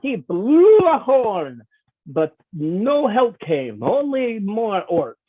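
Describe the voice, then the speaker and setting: A man's voice: sits high, wildly swooping pitch, extremely slow, husky, no room sound, exaggerated voice. A man voicing a timid, flustered young halfling in a fantasy script read, stammering and hesitant. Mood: nervous, hesitant. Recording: muffled, phone-like thin audio.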